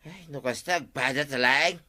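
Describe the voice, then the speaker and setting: A man plays a teasing, boastful village gambler with an Ilocano accent in a radio comedy. His voice is bright and sharp at a middle pitch. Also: moderate pace.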